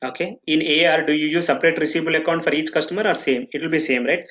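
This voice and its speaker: A man running a software training session, explaining steps and checking in with frequent "okay"s and restarts. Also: fairly high pitch, steady tone, rapid-fire, lo-fi sound. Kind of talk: live online training session.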